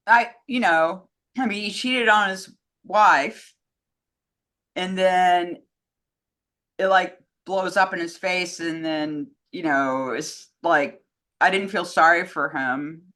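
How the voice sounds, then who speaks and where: A woman's voice: lowish voice, slow pace, pauses often, sharp tone. A woman co-hosting a casual film discussion, speaking in a frank, conversational way. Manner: frank, opinionated. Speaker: co-host reading fan questions.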